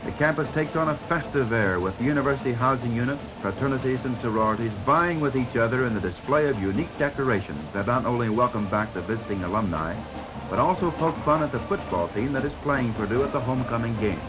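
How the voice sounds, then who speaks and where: A man's voice: medium pitch, lively intonation, fast talker, background noise. An American man reading scripted narration for a 1950s newsreel. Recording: 1950s newsreel soundtrack with music behind. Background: background music.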